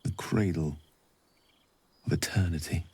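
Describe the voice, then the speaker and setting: A man's voice: sits low, fairly even intonation, measured pace, breathy edge, heavily acted. An actor playing an eloquent, learned male wizard in a video game, speaking in articulate, tender, formal phrasing. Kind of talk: game voice acting. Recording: game audio with soft music behind.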